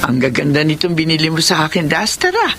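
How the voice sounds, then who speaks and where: Low-voiced, forgiving elderly mother. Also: animated, fast, background noise. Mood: touched, tender. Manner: gentle, motherly. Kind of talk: radio drama acting. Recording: clear music behind the voice.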